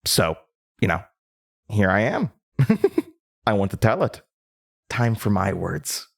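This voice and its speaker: A slow, creepy word-hungry entity. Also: male voice, lowish voice, sing-song, vocal fry, heavily acted. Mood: gleeful, taunting. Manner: theatrical, giggling, savoring words. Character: gleeful, unsettling word-devouring entity named Sally, speaking through a man. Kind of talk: horror audio drama monologue.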